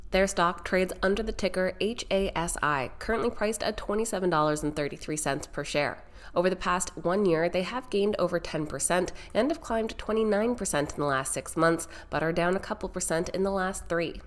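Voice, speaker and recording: A woman's voice: lowish voice, fairly quick. A young woman hosting a stock market video, sounding nasal and congested from a sinus infection, presenting in an upbeat way that speaks straight to viewers. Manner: upbeat, direct address to viewers. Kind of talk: scripted market news presenting.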